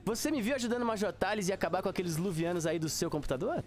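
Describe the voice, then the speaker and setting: Man acting young male pilot, Brazilian, bragging, high voice, rapid talk, audio drama. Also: slight background noise, close to an everyday voice. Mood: excited, boastful. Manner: excitable, bragging. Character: eager boastful young male fighter pilot.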